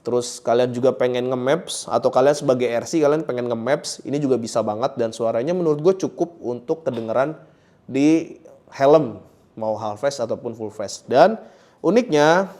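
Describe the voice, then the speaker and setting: A man's voice: medium pitch, normal speed, a little mellow. A man with a Jakarta Indonesian accent giving a casual product review, speaking colloquially and straight to viewers, often repeating his phrases. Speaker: motorcycle gear reviewer and host.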